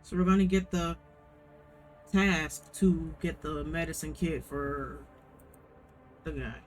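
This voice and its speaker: A man's voice: fairly high pitch, fairly even intonation, slow pace, faint music behind. A man giving casual gameplay commentary as he plays. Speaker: gamer playing on camera.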